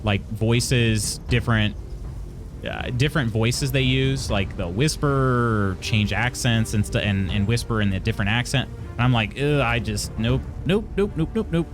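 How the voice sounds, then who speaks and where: A young man chats casually on a live stream, answering his viewers one message at a time. He often trips over his words and restarts his sentences. His pitch sits right in the ordinary middle for a man, and soft music plays faintly behind him. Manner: chatty, halting, many restarts. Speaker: streamer reading chat.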